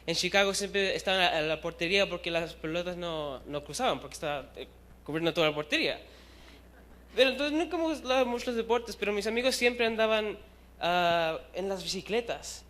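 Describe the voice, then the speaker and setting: A man's voice: high-pitched, a bit bright. A young man in his twenties from Chile, preaching to a youth group in a casual, self-deprecating way and slipping English words into his talk. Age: young adult. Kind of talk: casual storytelling sermon. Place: church hall.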